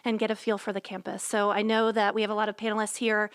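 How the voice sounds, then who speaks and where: Woman in her 40s welcoming families at a school panel, smooth clear voice, lots of ums. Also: mid-range voice, little pitch movement, fast talker. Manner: many filler ums, warm conversational. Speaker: director of residential life. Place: school dorm common room.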